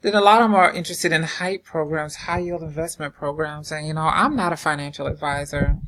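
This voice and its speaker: A woman with a low voice and a Southern American drawl talks through her screen in a loose, rambling way, full of fillers and restarts. She sounds informal and unscripted, close to a desktop mic. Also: fairly even intonation, brisk, fairly bright tone, poor audio. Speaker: crypto investing vlogger. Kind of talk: casual screen-share walkthrough. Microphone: desktop microphone.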